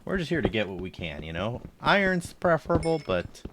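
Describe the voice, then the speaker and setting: A man with a low, slightly congested voice chatting casually while playing a game on stream and thinking aloud. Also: big pitch swings, fairly quick, background noise. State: congested, throat tickle. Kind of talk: casual live gameplay commentary.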